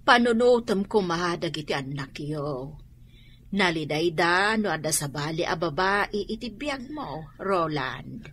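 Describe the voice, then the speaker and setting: A woman's voice: on the low side, animated, measured pace, a bit bright, a little hiss or hum. A woman playing a firm, concerned older mother and grandmother in an Ilocano radio drama.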